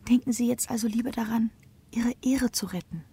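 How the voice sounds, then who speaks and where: An actress playing a gentle, earnest young daughter in a radio drama, soft and often half-whispering. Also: female voice, a little high, brisk, husky. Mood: tender. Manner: soft, tender, curious.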